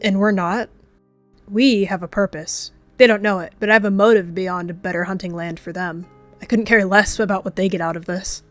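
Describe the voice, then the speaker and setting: A woman's voice: mid-range voice, fairly even intonation, moderate pace, faint music behind, lightly acted. Woman voicing a proud, vengeful scheming cat villain. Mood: cold, confident. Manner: snarling, defensive, intense.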